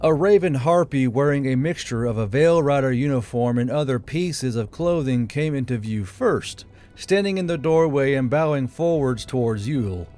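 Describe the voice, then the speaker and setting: A man's voice: mid-range voice, lively intonation, normal speed, hardly any pauses. A man reading a fantasy novel aloud as scripted audiobook narration. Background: quiet background music.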